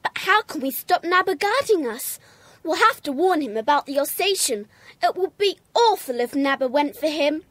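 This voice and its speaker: A woman playing an anxious, excitable young schoolboy with an English accent in a children's audio drama. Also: high-pitched, normal speed, no echo.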